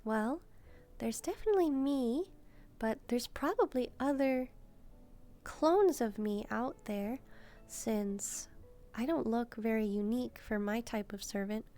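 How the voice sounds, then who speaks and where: A woman voicing a gentle, shy demon maid in a soft-spoken roleplay, speaking hesitantly as she muses aloud. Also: fairly high pitch, measured pace, soft background music. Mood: gentle, chatty. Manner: hesitant, timid, musing aloud. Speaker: roleplay video creator. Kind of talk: soft-spoken first-person roleplay, relaxing.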